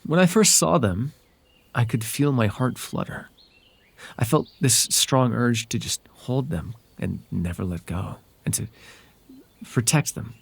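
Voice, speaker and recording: A man acts out a sly, whimsical fairy man in an intimate ASMR roleplay. His voice is husky and creaky, with pitch that swings expressively. He talks in a hesitant, conversational way, softly possessive underneath. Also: lively intonation, unhurried, exaggerated voice. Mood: smitten.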